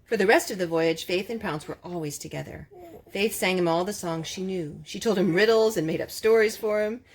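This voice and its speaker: A woman's voice: mid-range voice, a little fast. A woman reading a children's storybook aloud expressively, with casual asides to children. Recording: clearly noisy home recording with clicks.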